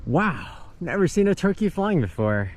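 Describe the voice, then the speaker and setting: A man's voice: a little high, very animated, moderate pace. A man of fifty, a tired long-distance hiker, chatting casually on a forest trail into his camera and laughing often. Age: 50s. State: tired from hiking. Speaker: thru-hiker vlogger. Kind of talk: casual trail vlog narration.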